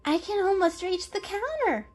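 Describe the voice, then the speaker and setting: A woman's voice: extremely high pitch, big pitch swings, moderate pace, pitched above the performer's own voice. A woman voicing a cute, playful young female cat, bubbly, kind and reassuring, in a children's story. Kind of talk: dramatic children's story reading.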